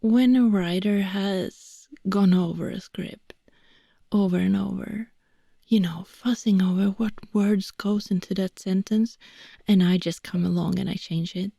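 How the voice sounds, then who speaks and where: A woman from Sweden with a Swedish accent, English not her first language, rambling in a soft whisper as an ASMR voice actor close to the mic. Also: medium pitch, fairly even intonation, takes it slowly, vocal fry, no echo.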